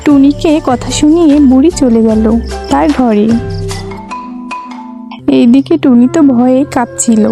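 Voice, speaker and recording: Female storyteller, high-pitched, scripted children's tale narration over music. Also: a little slow, long pauses.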